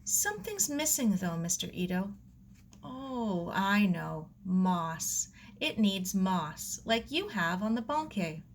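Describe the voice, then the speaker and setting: A woman's voice: medium pitch, very animated, slow, a little hiss or hum. A woman voicing a curious, polite young girl in a storybook, earnest and full of wonder. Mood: eager.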